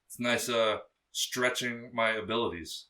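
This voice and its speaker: A young man with a low, bassy voice talks loosely as a podcast guest, piling on fillers and casual swearing. His tone is bright and forceful. He sits a little back from the microphone. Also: measured pace, distant mic. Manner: rambling, full of fillers and swearing. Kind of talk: casual interview chat.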